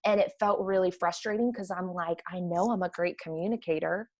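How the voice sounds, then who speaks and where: A woman with a Midwestern American accent, chatting energetically as a podcast guest. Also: on the low side. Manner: energetic, chatty, quick to laugh. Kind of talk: casual conversation.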